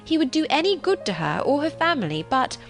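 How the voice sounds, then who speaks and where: An animated young gentlewoman from a period novel, acted out by a woman reader, spirited and sure of her opinions. Her phrasing is formal and emphatic, swinging widely in pitch, with music playing underneath. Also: brisk. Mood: dismissive, insistent.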